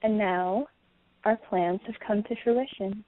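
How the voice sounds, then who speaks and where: A woman's voice: mid-range voice, takes it slowly, natural voice. A woman playing a bitter, vengeful betrayed wife and mother in an audio play, accusing and indignant. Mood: cold accusing. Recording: tinny phone-like sound, clear background noise.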